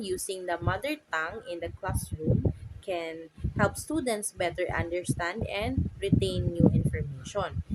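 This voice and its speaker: A young Filipino woman from the Philippines, a native Tagalog speaker, giving a class presentation with frequent "so" and "um" and a halting delivery. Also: mid-range voice. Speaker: student presenter. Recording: loud background noise.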